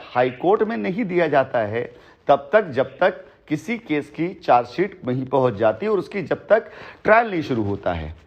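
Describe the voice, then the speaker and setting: A man on a recorded phone call, explaining at length in an emphatic, long-winded way and repeating his phrases for weight. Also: fairly high pitch. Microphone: telephone.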